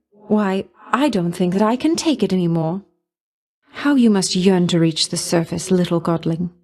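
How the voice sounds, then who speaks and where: An actress playing a coquettish, affectionate goddess of love in a video game, speaking in a grand, coy manner full of doting endearments. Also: female voice, mid-range voice, darkish tone, close to an everyday voice. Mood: longing, tender. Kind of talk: video game character voice lines.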